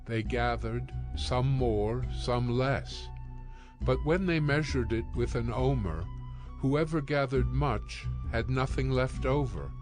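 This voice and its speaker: A man narrates scripture in a scripted audio production. His voice sits at a middle pitch. Music plays clearly beneath the narration. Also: takes it slowly.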